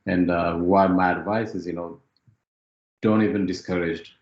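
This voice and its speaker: A very deep-voiced man from Ethiopia gives a testimonial interview, pausing often and leaning on fillers as he talks it through. His voice sounds roomy and a little dull, as if caught from across a room. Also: fairly even intonation, a little mellow. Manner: hesitant, many fillers and "you know". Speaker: former student, interviewee.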